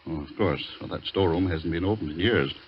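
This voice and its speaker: An actor playing a guardedly polite, uneasy husband in a 1940s radio drama, with a husky voice. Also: male voice, low voice, quick pace, slight background noise, natural voice. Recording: dull 1940s radio recording.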